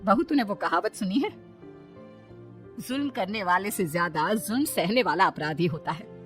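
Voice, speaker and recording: A woman's voice: mid-range voice, animated, normal speed, natural voice. A woman voicing a bossy, crafty elderly mother-in-law in a Hindi family cartoon, with a commanding, colloquial manner. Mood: motherly, shrewd. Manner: commanding, colloquial, calls out loudly. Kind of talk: animated Hindi family story dialogue. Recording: music behind the voice. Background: background music.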